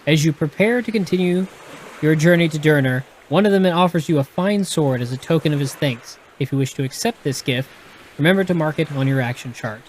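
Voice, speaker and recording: A man's voice: fairly high pitch, brisk, a little hiss or hum, rough recording. Texan man reading a gamebook live. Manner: casual and explanatory, with frequent restarts and self-corrections. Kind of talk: reading a gamebook aloud and chatting casually with a live audience.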